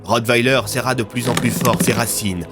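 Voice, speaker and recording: A man's voice: mid-range voice, normal speed, over music. A man narrating a scripted audio story in a dramatic style.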